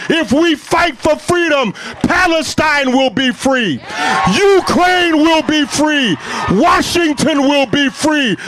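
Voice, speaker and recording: A man with a very high voice preaches to an outdoor rally and builds his lines into chant-like repetitions. The recording carries plenty of outdoor noise. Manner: rising, chant-like cadence with repetition. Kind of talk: preaching protest speech.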